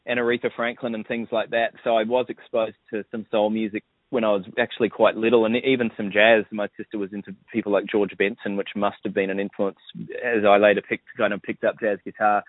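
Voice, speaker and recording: A man in his forties from New Zealand, a bandleader talking haltingly with many restarts in an interview. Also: on the low side, flat delivery, no echo. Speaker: bandleader and guitarist. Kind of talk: interview over the phone. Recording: dry tinny phone line.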